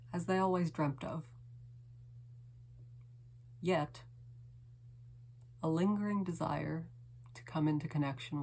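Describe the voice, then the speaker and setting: Female poet, low register, unhurried dramatic delivery with hushed, lingering phrasing. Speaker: poet reading own poem. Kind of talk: slow dramatic poetry reading.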